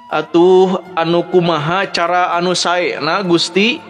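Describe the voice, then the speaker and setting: Man acting a humble young commoner, pitched up. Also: fairly even intonation, a little slow, fairly bright tone, long reverb tail, soft background music. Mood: earnest, impatient. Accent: Sundanese.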